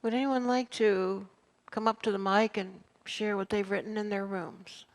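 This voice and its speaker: A woman minister guiding her congregation through a sharing exercise, speaking in a gentle, encouraging way. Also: medium pitch, fairly even intonation, moderate pace, poor audio. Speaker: minister leading a church service. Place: church sanctuary.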